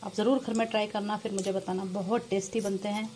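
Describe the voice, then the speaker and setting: A woman's voice: medium pitch, fairly even intonation, a little hiss or hum. Homely woman cooking over sizzling oil. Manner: addresses viewers often as nazreen, unhurried and homely. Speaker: cooking vlogger. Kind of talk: casual step-by-step cooking narration. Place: home kitchen beside frying pan. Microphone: phone camera over the stove, hands only. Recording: home kitchen with loud frying sizzle. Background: oil sizzling in the pan.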